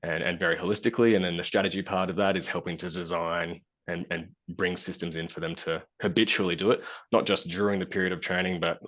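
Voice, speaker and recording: A man's voice: low voice, fairly even intonation, fast talker, few pauses, fairly bright tone, lo-fi sound. A man in his thirties, a guest on a video call, talking through ideas thoughtfully with many fillers and restarts. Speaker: guest, business founder. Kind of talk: relaxed conversational interview. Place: small plain room indoors.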